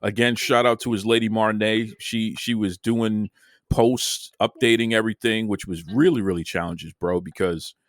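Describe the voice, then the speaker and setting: A man with a lowish voice hosts in a loose, folksy way, often repeating words and calling the listener "man." His voice sits close to the mic in a dry sound with no room echo. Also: steady tone, moderate pace. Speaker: podcast host. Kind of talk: casual chat.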